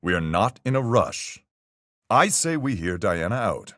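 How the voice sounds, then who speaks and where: An actor playing a calm seafaring man in a video game, reasoning things through with folksy sailor talk in a husky, gravelly voice. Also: lowish voice, no room sound, clean studio sound. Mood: measured, reasoning. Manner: folksy nautical speech, measured and reasoning. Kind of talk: video game voice acting, deliberate argument.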